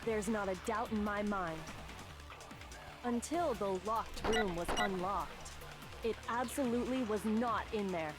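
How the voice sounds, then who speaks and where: A calm, assured teenage detective girl played by a woman in an anime game. She speaks precisely at a middling pitch for a woman, with clear music behind her. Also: measured pace, natural voice. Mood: calm certainty.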